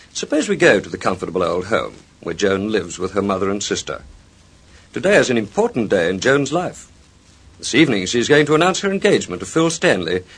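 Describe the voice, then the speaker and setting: A man's voice: mid-range voice, fairly bright tone, breathy edge. A man narrating a vintage radio soap opera as its announcer, with a formal, sentimental and dramatic delivery. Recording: vintage radio transcription recording with music. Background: music.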